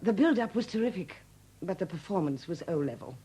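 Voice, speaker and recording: An actress playing a wry, bitter widow with a husky, expressive voice in a 1970s radio drama. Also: female voice, lowish voice, fairly quick. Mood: wry, sardonic. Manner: sardonic, expressive.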